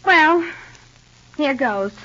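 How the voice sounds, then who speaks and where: An actress playing a fretful, bossy, excitable housewife in a dramatic 1950s radio soap manner. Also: female voice, high voice, drawn-out words, background noise, full character voice. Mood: dismayed. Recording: dull vintage radio recording.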